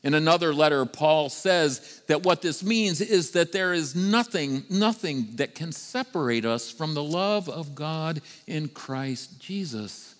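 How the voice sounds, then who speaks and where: A middle-aged man preaching a sermon as a priest, with deliberate phrasing and emphatic repetition. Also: fairly high pitch, animated. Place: church sanctuary, standing before the altar. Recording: reverberant church hall.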